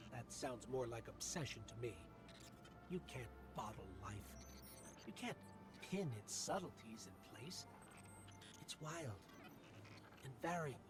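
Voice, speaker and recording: An actor playing a small, loyal male robot companion who speaks in a thoughtful, gently encouraging way. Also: medium pitch, close to an everyday voice. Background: music score.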